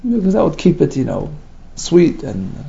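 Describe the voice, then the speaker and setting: Creaky sing-song man teaching Talmud. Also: a little high, very animated, normal speed, warm tone. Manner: sing-song, questioning, reads Hebrew then explains. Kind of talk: Talmud study lesson, reading and translating. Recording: dull lo-fi recording.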